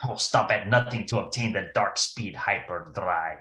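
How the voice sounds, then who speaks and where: Man as ruthless alien villain, forceful low voice, roomy sound, radio play. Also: normal speed. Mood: menacing. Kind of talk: amateur old-time radio play acting.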